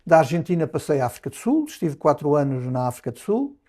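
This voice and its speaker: A Portuguese diplomat in his sixties with a Lisbon accent speaks in a mellow, slightly high voice. He talks in measured sentences and often pauses or repeats a word before going on. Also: sing-song. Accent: European Portuguese, Lisbon. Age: 60s. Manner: measured, with frequent hesitations and restarts. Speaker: ambassador, interview guest. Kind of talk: reflective interview answers.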